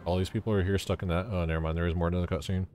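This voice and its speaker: A man's voice: low-pitched, flat delivery, very fast, over music. A man giving casual, offhand and sweary commentary as he streams a video game.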